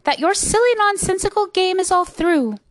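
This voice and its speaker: A woman's voice: high voice, lively intonation, unhurried, no echo, studio quality, voice pushed up. A woman voicing a big, bossy, scornful kangaroo from a storybook, snapping and scolding haughtily. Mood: scornful, snappish. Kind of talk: storybook character voice.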